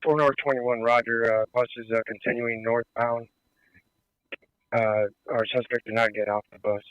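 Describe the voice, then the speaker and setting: Dull police radio, clear-voiced male officer. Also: mid-range voice, little pitch movement, normal speed, a bit bright, dry recording. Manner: matter-of-fact, procedural. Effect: police radio transmission. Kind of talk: police radio status reports. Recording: muffled band-limited police radio.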